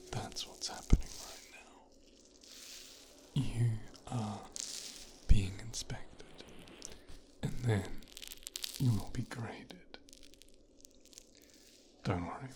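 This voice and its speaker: A man playing an arrogant, bitter young son of a mafia boss in an ASMR roleplay, coldly menacing, with a breathy half-whisper and a deliberate, condescending delivery. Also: on the low side. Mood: coldly methodical, menacing. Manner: slow, deliberate, condescending, profane. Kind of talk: scripted ASMR roleplay, speaking directly to the listener.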